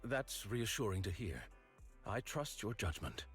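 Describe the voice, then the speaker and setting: A man voicing a composed, courteous, formal envoy in a video game, speaking politely and in a measured way. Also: fairly low pitch, very animated, soft background music. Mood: respectful, sincere.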